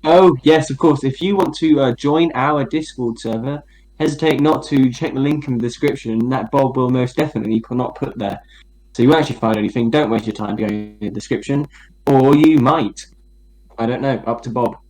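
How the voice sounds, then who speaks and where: A man's voice: mid-range voice, fairly quick, a little mellow, rough recording. A man co-hosting a comedy podcast, asking absurd questions in a dry, fake-serious, hesitant way. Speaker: podcast co-host. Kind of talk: deadpan mock interview.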